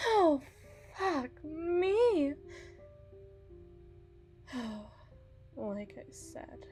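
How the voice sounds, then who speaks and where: Actress as bitter, lovesick bride for audio roleplay; high female voice, unhurried, theatrical and emotional, faint music underneath. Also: darkish tone, heavily acted. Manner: theatrical, emotional, sighing, drawn-out delivery. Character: desperate, bitter young runaway bride, lovesick and obsessive. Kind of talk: ASMR roleplay monologue, dramatic acting.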